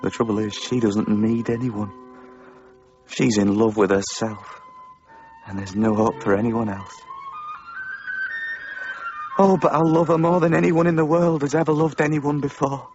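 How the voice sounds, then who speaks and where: A man's voice: medium pitch, a little slow, long pauses, a little mellow, soft background music, lightly acted. A man playing a shy, lovesick young village blacksmith who pleads earnestly with the girl he loves in a radio drama. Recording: dull lo-fi 1990s broadcast.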